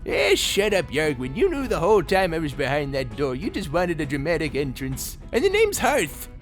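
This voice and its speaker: A grumpy old pirate veteran, played by a man, scolds theatrically in a space audio drama. His voice sits fairly high and swoops about in irritation, over faint music. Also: wildly swooping pitch, normal speed. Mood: crotchety, scolding.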